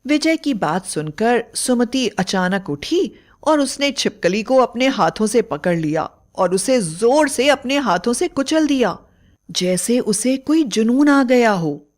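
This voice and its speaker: A woman's voice: fairly high pitch, lively intonation, slow pace. A woman storyteller reading a scripted bedtime fairy tale as narrator.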